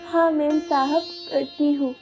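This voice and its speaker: Slow, high-voiced, plaintive poor mother. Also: fairly even intonation, over music. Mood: humble, pleading. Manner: plaintive, hesitant. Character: poor, humble, sorrowful young village mother and maid. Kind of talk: Hindi cartoon story dubbing.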